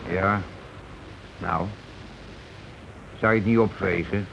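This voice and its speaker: On a dull, slightly noisy old broadcast, a blustering working-class husband speaks in a lowish voice. He has had a drink. He is blunt and colloquial, echoing words back as he tries to catch on. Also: fairly even intonation, takes it slowly, vocal fry, fairly bright tone, heavily acted. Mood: defensive, grumbling. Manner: blunt, colloquial, repeats what he hears. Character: blustering, tipsy working-class husband, union man, gruffly slow-witted. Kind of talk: naturalistic radio play dialogue. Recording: muffled, noisy old radio broadcast recording.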